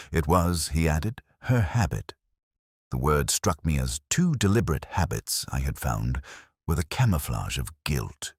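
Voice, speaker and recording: A man giving a measured, brooding first-person narration of a detective story. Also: extremely low pitch, breathy edge, dry recording, clean studio sound. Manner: measured, brooding, literary delivery.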